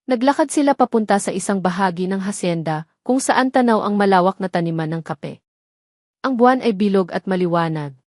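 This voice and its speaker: Woman storyteller reading a scripted drama, medium pitch, really dry sound. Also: lively intonation, fairly quick, darkish tone, dry recording. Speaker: story narrator.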